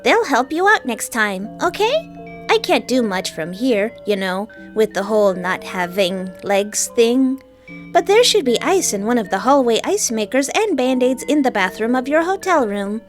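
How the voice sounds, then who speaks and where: A woman's voice: fairly high pitch, animated, normal speed, faint music behind, close to an everyday voice. A woman voicing a cheerful, helpful hotel computer mascot with a chirpy customer-service manner. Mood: chipper.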